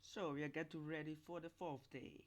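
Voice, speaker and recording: A man with a fairly high-pitched voice gives informal commentary. He talks haltingly and circles back over his points, repeating them and posing skeptical questions as he goes. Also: flat delivery. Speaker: host giving commentary.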